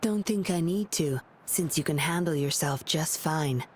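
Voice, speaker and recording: A woman's voice: sits low, a little slow. A woman voicing a guarded, composed video game patroller with a wry, lonely streak. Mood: wry, measured. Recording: clear ambient noise behind voice.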